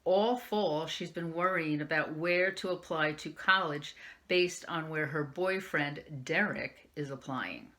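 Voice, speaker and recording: A woman's voice: low voice, slow, bright, forceful tone. A woman librarian reading a young adult novel aloud in the first person, lifting her voice for the quoted lines. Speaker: youth services librarian.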